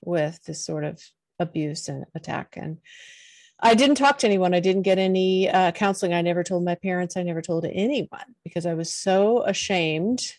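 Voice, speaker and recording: A middle-aged woman, a podcast guest, telling her personal story in a soft, earnest voice. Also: on the low side, moderate pace, no echo. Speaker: podcast guest, confidence coach.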